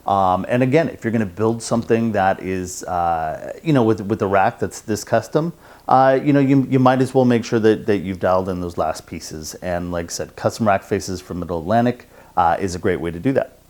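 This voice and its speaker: A man's voice: fairly low pitch, normal speed, darkish tone. A middle-aged American man presenting a semi-scripted product demonstration indoors at a house.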